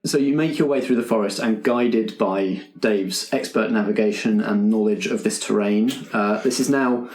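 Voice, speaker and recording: Male game master, lower register, describes scenes directly to players, recorded with noticeable room echo. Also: moderate pace, few pauses, a little mellow. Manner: descriptive, addressing players as you. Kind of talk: improvised narration of a tabletop roleplaying game. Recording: roomy, echoing group recording.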